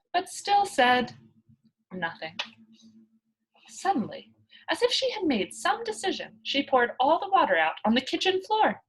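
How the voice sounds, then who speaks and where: A woman reading a children's story aloud in an expressive narrating style. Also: high voice, slightly rough, low-quality recording. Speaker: storybook reader.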